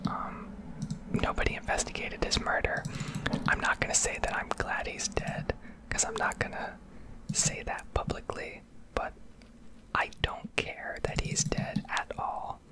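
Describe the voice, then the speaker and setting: A woman's voice: unhurried, poor audio. Whispering young American woman. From Connecticut, United States. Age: young adult. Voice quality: breathy, unvoiced whisper. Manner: blunt, sweary, rambling. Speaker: ASMR streamer. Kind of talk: whispered ASMR rambling rant over gameplay.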